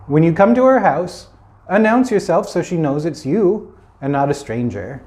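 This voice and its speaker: A man's voice: on the high side, animated, moderate pace, darkish tone, natural voice. A man voicing a caring, cautioning mother in a children's story, instructing firmly.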